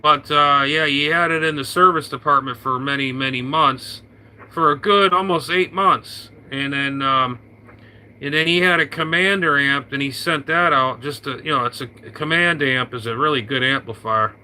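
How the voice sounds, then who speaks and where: A man's voice: mid-range voice, normal speed, pushed and bright, low-quality recording. A man in casual on-air radio chat, rambling with many fillers and restarts. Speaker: radio operator.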